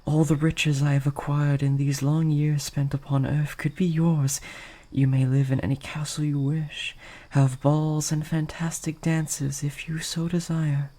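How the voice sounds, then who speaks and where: A performer voicing a gentle, lovesick, possessive vampire in an intimate audio roleplay, soft and breathy, with formal, hesitant, old-fashioned phrasing. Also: mid-range voice, level tone, a little slow, natural voice. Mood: pleading, devoted. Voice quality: soft, breathy, often dropping toward a whisper.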